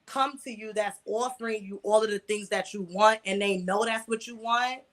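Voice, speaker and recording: Bright, forceful young American woman telling her story. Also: medium pitch, steady tone, moderate pace. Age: mid twenties. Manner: colloquial, emphatic with repeated words for stress. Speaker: interview guest, online video creator. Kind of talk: candid personal storytelling in an interview.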